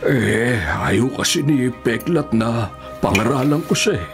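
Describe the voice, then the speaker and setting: A man's voice: medium pitch, big pitch swings, measured pace, music in the background. An actor playing an elderly, forgiving male prisoner who preaches earnestly and patiently in a radio drama. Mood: gentle, earnest.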